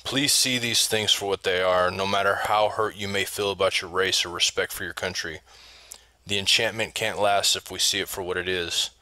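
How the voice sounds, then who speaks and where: A man's voice: sits low, steady tone, moderate pace, pushed and bright, no room sound, poor audio. A man reading scripted narration in a preachy style.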